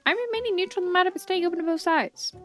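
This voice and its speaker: A woman's voice: very high-pitched, rapid-fire, voice pushed up. A woman voicing a patient, sceptical young female tea brewer from a video game, puzzled and questioning. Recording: game music behind the voice.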